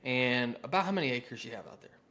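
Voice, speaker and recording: American man, mid-pitched voice, sales coach, conversational, informal, instructional talk with example script lines. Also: animated, fairly quick, bright, forceful tone.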